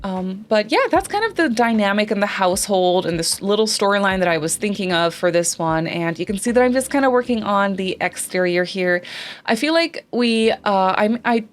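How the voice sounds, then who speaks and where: A woman's voice: mid-range voice, animated, normal speed. A young woman whose first language is Dutch, chatting casually over a voice-over with lots of fillers and self-corrections. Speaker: Sims YouTuber.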